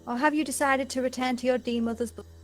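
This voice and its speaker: A woman reads out a haughty villainess mother from a fantasy game. Her voice is fairly high, cold and disdainful, with formal phrasing and music behind. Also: steady tone, fast, close to an everyday voice. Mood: haughty, bitter. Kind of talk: reading fantasy game dialogue aloud.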